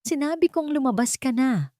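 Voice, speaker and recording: A woman's voice: sits high, lively intonation, normal speed, mellow, dry recording, clean studio sound, voice pushed up. A woman voicing a stern, emotional wealthy young man in an audio romance story. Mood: angry, cold. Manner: emphatic, commanding, emotional.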